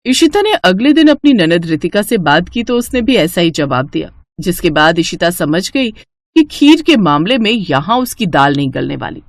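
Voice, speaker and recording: A woman's voice: lowish voice, sing-song, moderate pace, no echo, clean studio sound. A woman narrating a scripted moral story as a storyteller.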